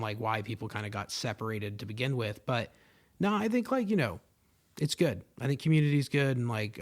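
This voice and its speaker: A young man hosting his own podcast, talking in a loose, rambling monologue full of fillers and swearing, into a podcast microphone. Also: lowish voice. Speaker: podcast host. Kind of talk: casual solo podcast monologue.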